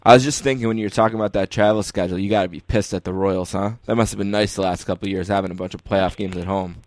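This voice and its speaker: A man's voice: deep voice, fairly even intonation, racing, no room sound. An American man, middle-aged, hosting a sports podcast with casual, conversational interviewing.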